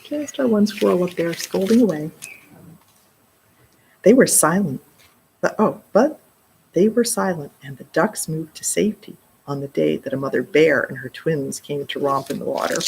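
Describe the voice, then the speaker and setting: A middle-aged woman reading aloud to children in an expressive way, pausing for casual explanatory asides. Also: low-pitched, gravelly, slight background noise, low-quality recording. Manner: expressive, explaining words and asides to young listeners. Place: indoor library children's room.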